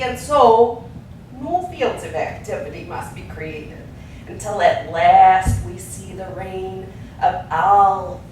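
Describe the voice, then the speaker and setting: Sardonic theatrical ranter: slow, drawn-out declamation with sudden swings, picked up from a distance on stage. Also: female voice. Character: sardonic, ranting orator railing against American war and manufacture. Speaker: stage actor. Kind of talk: theatrical monologue from an avant-garde radio play. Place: echoing performance space, recorded from a distance. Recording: very echoey stage, distant mic, rumbling noise.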